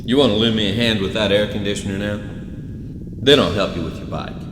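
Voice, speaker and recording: An actor playing a sly, gruff older recluse in an audio drama, wry and folksy. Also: male voice, lowish voice, a little fast, background noise, natural voice. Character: sly old recluse, gruff and teasing, bunker owner. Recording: echoey hall-like space with music underneath.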